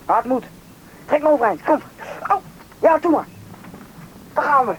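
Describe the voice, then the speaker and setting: High-voiced, bossy injured boy. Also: animated, normal speed, a bit bright, lo-fi sound. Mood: strained, determined. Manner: bossy, urgent. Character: injured, stubborn boy lost in snowy wilderness. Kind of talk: Dutch radio play acting. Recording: radio play recording, faint noise.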